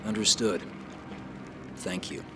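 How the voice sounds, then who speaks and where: An actor playing a grave, determined resistance soldier with a terse, resolute manner in a video game. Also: male voice, on the low side, fairly even intonation, takes it slowly, noisy background. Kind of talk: video game cutscene acting.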